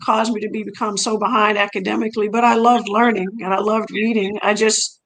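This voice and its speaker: Middle aged lady, french is her first language, coach chatting in an interview, lots of vocal fry, kinda rambly. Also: medium pitch, little pitch movement, brisk, fairly bright tone, rough recording. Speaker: track and field coach, interview guest. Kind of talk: conversational personal storytelling.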